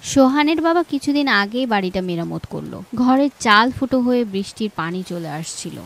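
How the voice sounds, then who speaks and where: A woman's voice: on the high side, animated, brisk, vocal fry. A woman with a Bangladeshi accent playing a worried, caring married daughter in a cartoon, anxious and tender. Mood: troubled, reflective. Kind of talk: cartoon dialogue and storytelling. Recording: faint background noise.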